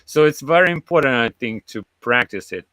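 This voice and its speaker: A man taking part in an online lesson, halting and searching for words. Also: mid-range voice, animated, pushed and bright, no echo. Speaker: learner participant.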